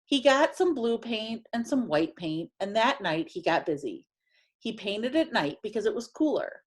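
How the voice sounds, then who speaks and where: A woman reading a children's picture book aloud in an expressive, emphatic way, drawing out phrases for young listeners. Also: on the high side, husky. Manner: animated, emphatic storytelling with drawn-out phrases.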